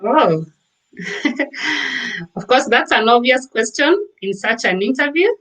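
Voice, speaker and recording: A woman from Kenya, a guest in a casual interview, explaining at length with frequent pauses and fillers. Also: a little high, animated, fairly bright tone, poor audio. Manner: explanatory, with many fillers and restarts.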